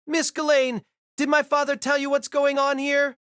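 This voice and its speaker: A man's voice: extremely high pitch, completely flat delivery, normal speed, fairly bright tone, no echo, studio quality, pitched above the performer's own voice. A man voicing a polite, wry young boy who is easily flustered, in an audio drama.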